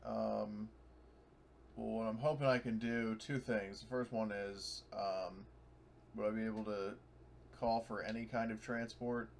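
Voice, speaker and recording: A man's voice: on the low side, fairly even intonation, unhurried, vocal fry. A man playing a tabletop roleplaying game, chatting casually and hesitantly as he thinks aloud.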